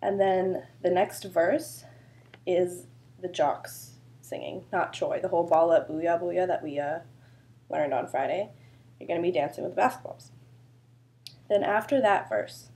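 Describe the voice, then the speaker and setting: A woman's voice: lowish voice, unhurried, gravelly, mellow. A woman choreographer with a sore throat, explaining a dance routine in a chatty, loose way full of fillers. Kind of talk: casual dance instruction video.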